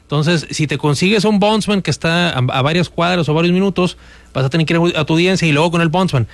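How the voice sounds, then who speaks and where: A male radio host with an upbeat, persuasive delivery, giving advice to listeners. His voice is slightly high for a man and sounds dry and close to the mic. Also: fast, no room sound. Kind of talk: radio talk show hosting.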